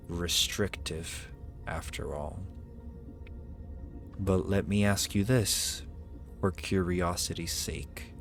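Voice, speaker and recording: Very slow, deep ancient dragon played by a man. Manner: deliberate, archaic formal phrasing, imperious. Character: ancient, proud male dragon summoned as a familiar. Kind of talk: ASMR roleplay, slow theatrical monologue. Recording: music behind the voice. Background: music.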